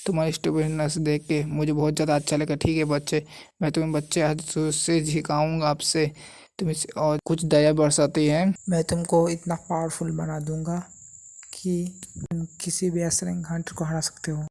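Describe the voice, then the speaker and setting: Man as a boastful old immortal master, mellow. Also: on the high side, completely flat delivery, normal speed, natural voice. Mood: condescending. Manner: boastful, condescending. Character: proud, scolding old immortal martial arts master spirit.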